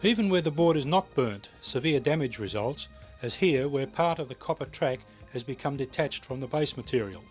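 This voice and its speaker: A man's voice: medium pitch, lively intonation, moderate pace. A man reading scripted instructional narration for a technical training film in a precise, matter-of-fact way. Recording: music behind voice, VHS tape transfer.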